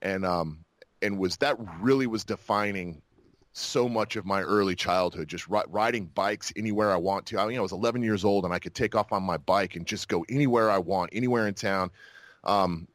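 A low-pitched American man chats as an interview guest. He talks reflectively, feeling his way through sentences as he tells his story. The sound is dry and close. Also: fairly quick, a bit bright, dry recording. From Utah and New Mexico, United States. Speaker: podcast guest, actor and army veteran. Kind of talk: casual interview conversation.

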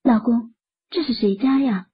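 A woman voicing a kind, soft-hearted young wife in an audio drama, speaking sweetly. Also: a little high, unhurried, slightly rough. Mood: curious. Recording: muffled phone-like audio.

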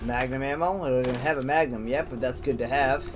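A man's voice: mid-range voice, quick pace, background noise. A man giving casual let's play commentary, talking to himself in folksy slang with some mild swearing. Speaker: gamer, video host. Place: indoors, over game audio.

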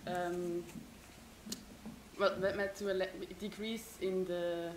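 A woman's voice: lowish voice, little pitch movement, slow. A young woman student in the audience of a lecture hall, asking a long question with many hesitant fillers. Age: young adult. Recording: roomy lecture hall with faint hiss.

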